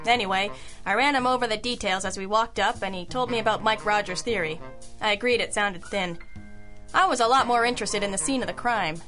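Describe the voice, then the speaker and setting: A woman's voice: mid-range voice, brisk, fairly bright tone, close to an everyday voice. A woman playing a wry, self-assured girl detective, narrating an old-school radio mystery in a dry, wisecracking manner. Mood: wry, musing. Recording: music under the voice.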